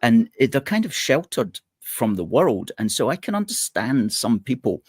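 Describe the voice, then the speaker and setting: A man from Scotland talking as a guest in a casual political chat, measured and thoughtful. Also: mid-range voice, very animated, breathy edge, no echo, studio quality.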